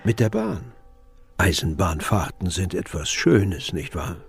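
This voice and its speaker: A man acting an earnest, devoted festival impresario in a radio play, husky and gravelly, reassuring and ceremonious. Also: sits low, big pitch swings, unhurried, faint music behind, full character voice. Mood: soothing.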